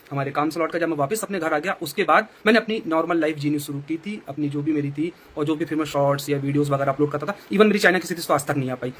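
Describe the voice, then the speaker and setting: A man's voice: on the high side, fairly bright tone. A male vlogger earnestly explaining himself to his viewers. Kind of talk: talking to camera.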